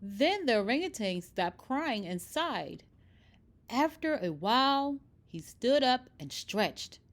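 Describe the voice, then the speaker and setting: A woman reading a children's picture book aloud in expressive, scripted narration. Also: a little high, slow pace. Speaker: storybook reader.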